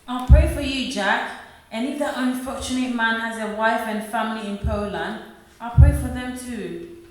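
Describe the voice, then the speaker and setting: A woman's voice: fairly high pitch, normal speed, natural voice. A woman playing a devout, principled woman in a radio play, speaking earnestly and moralizing. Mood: solemn, pious. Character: Ruth, devout, principled woman urging honesty. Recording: very echoey room, far from mic.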